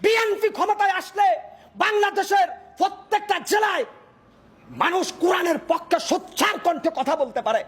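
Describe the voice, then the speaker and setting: A Bangladeshi man delivering a fiery political speech in an impassioned, declamatory manner, often shouting and using repetitive rhetorical phrasing. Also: very high-pitched, normal speed. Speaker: political speaker, self-described hawker.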